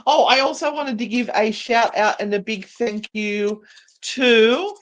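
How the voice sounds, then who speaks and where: A woman's voice: on the high side, unhurried. A woman co-hosting a livestream in a casual, conversational way. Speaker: co-host.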